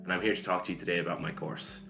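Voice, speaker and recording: A young man from Longford, a computer science and business student, giving a clear, even account to school students. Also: deep voice, rapid-fire, faint music behind. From Longford, Ireland. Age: young adult.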